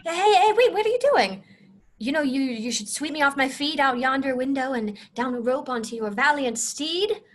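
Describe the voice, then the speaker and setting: A high-pitched cartoon princess acted out by a woman, young and romantic. She speaks in theatrical, lofty phrases and insists indignantly on having things done properly. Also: moderate pace, hardly any pauses, fairly bright tone. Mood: insistent, pleading. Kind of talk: comic animated-film character acting.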